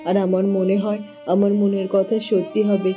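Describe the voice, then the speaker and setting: A woman's voice: medium pitch, flat delivery, normal speed, music in the background, close to an everyday voice. A woman voicing a hopeful, devoted queen and mother in a cartoon drama. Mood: hopeful, insistent. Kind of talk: dramatized cartoon story dialogue.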